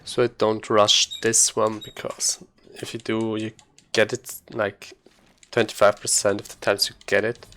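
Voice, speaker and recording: A man's voice: lowish voice, little pitch movement, a little slow, few pauses, bright, forceful tone, a little hiss or hum. A man giving casual, explanatory gameplay commentary as a speedrunner.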